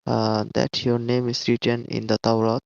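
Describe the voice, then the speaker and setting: Flat-voiced man from Bangladesh on a muffled call. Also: mid-range voice, moderate pace, vocal fry, no echo. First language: Bengali. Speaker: caller. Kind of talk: call-in debate. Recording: muffled dry call line.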